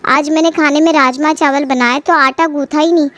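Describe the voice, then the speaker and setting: A young daughter-in-law from a cartoon household drama voiced by a woman. She has a high voice and a calm, placating manner, explaining things patiently. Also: a little fast, no echo, highs cut off. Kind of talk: animated family story dialogue.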